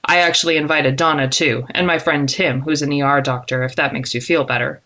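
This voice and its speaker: Woman voicing a low, friendly, disarming young man. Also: brisk. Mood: gentle, apologetic. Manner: calm, reassuring, casual. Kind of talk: audiobook character dialogue.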